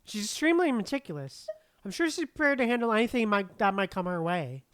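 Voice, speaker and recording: A woman's voice: medium pitch, very animated, fast talker, no echo, studio quality. A woman streaming a video game, reacting casually and reading dialogue aloud.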